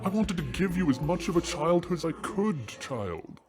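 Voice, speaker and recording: A man's voice: on the high side, very animated, normal speed, music in the background. A man playing an effusive, theatrical ancient dragon king, a doting and teasing father, in an audio drama. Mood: fatherly, stately. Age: older.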